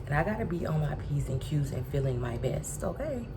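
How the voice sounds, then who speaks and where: A woman in her twenties, from Texas, sick and congested with a raspy voice, giving a casual vlog update to her phone. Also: sits low, very animated, a little fast, noisy background. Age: 20s. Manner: chatty, addresses viewers directly. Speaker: vlogger. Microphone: phone camera.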